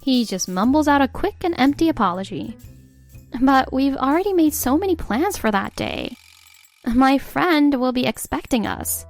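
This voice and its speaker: A woman's voice: high voice, lively intonation, moderate pace. An actress playing a fed-up young wife who narrates her own story in an exasperated, sarcastic way, with faint music behind. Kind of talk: scripted narration.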